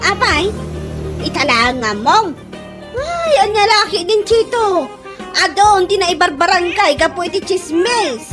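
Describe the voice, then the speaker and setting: A woman's voice: very high voice, big pitch swings, a little slow, over music, exaggerated voice. A woman playing a nagging, exasperated wife in an Ilocano radio comedy drama, with exclamatory delivery and an Ilocano accent. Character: scolding, exasperated wife and gossiping neighbour woman.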